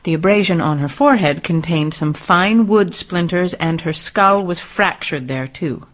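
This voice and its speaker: A woman's voice: on the low side, a little slow, lightly acted. A woman acting a dry-witted, composed autopsy doctor in an old-time radio mystery, matter-of-fact and wry. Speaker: radio drama actor.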